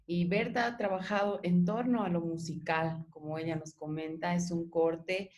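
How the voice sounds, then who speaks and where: A woman's voice: on the low side, fairly even intonation, normal speed, darkish tone, slight background noise. A woman, interviewing a guest in a conversational way as host. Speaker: interviewer and project curator.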